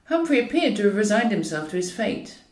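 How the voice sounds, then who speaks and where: A woman's voice: medium pitch, big pitch swings, fairly quick, a little mellow. A woman reading a comic novel as scripted narration, with a wry, dryly ironic way of telling it. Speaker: author reading own novel. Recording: roomy echo, distant from mic.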